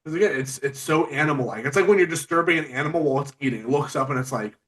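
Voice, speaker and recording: A man hosting an informal podcast interview, with a halting, conversational delivery. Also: a little high, fairly bright tone. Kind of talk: casual interview, reading out listener questions.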